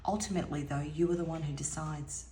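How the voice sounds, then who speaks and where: A woman giving an intuitive tarot reading in a musing, soft-spoken way, her voice a little hoarse and now and then dropping to a near whisper. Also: deep voice, fairly even intonation, quick pace, reverberant, slight background noise. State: slightly hoarse, losing her voice. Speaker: tarot reader.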